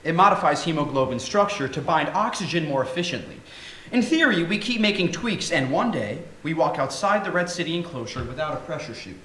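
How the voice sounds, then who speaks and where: Male actor as flirtatious Martian colony doctor: highish voice, very animated, playful and theatrical, roomy stage sound. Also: fairly quick. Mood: keen, explaining. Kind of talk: staged musical reading, sci-fi dialogue. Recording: roomy theatre recording with faint noise.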